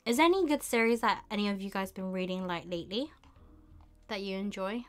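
A young woman hosting a casual live stream, thinking aloud in an unhurried way with murmured asides. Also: mid-range voice, faint music behind. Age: young adult. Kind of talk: casual chat while shopping online. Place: small indoor room with bookshelves.

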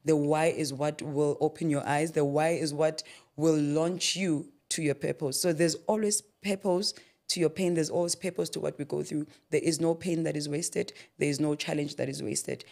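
Low, gravelly South African woman preaching. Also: fairly even intonation, moderate pace, darkish tone. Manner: preacherly, repeats phrases for emphasis. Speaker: author and interview guest. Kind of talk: faith-based interview talk. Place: podcast studio with a yellow backdrop. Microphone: studio mic on a boom arm.

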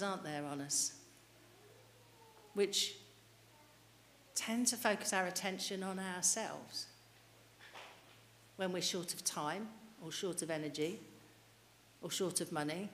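A woman's voice: fairly low pitch, unhurried, long pauses, a bit bright. A middle-aged woman preaching a sermon at a church lectern mic, earnestly putting questions to the congregation. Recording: reverberant church hall, light hiss.

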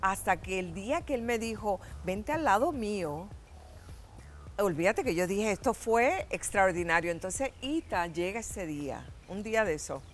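A middle-aged Puerto Rican woman, an actress, telling stories as a guest in a TV studio interview, animated and gesturing. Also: mid-range voice, moderate pace, a little hiss or hum. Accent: Puerto Rican Spanish. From Puerto Rico. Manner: animated storytelling with gestures, laughs and acted-out quotes. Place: TV studio set.

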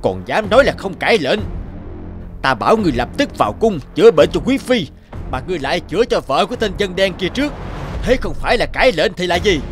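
A wrathful cartoon king, domineering and indignant. He speaks with lively, imperious force, with clear music underneath. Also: high voice, quick pace. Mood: angry. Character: angry, imperious bearded king. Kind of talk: animated moral tale dubbing. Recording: music behind the dialogue.

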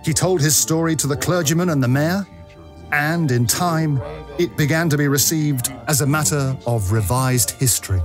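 A man narrates an audio drama in a fairly high voice. He tells the tale in measured, deliberate phrases, with faint music underneath. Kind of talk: dramatic scripted storytelling.

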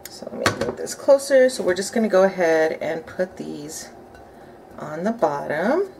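A woman's voice: fairly low pitch, expressive, normal speed. A woman in her thirties, chatting upbeat as she cooks a recipe at home and thinks aloud. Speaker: home cooking vlogger. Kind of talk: casual cook-along narration. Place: home kitchen, indoors. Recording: home kitchen, clear noise of dishes and utensils. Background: clinking cutlery and pots.

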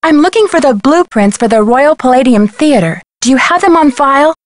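A woman's voice: sits high, lively intonation, fairly quick, no room sound. An actress playing a plucky, determined young female amateur detective in a video game, polite and self-assured. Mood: polite, businesslike.

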